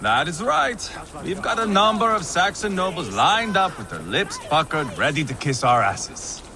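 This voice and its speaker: An actor playing a brash, bloodthirsty Viking warrior in a game, sneering and crude with foul-mouthed swagger. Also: male voice, a little high, very animated, moderate pace. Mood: gleeful, mocking.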